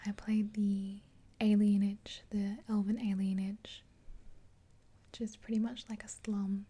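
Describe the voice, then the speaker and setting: A woman in her twenties whispering gently and breathily in an ASMR roleplay, close to the mic. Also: medium pitch, level tone, breathy edge. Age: 20s. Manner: gentle, unhurried. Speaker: ASMR creator playing a makeup artist. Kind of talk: ASMR roleplay, soft rambling chat. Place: small indoor room. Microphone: close mic, face near camera.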